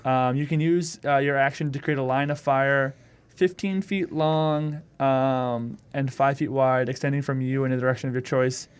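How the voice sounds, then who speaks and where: Casual male tabletop gamer, medium pitch. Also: steady tone, moderate pace, clear, resonant tone. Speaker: tabletop game player. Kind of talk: casual game chat.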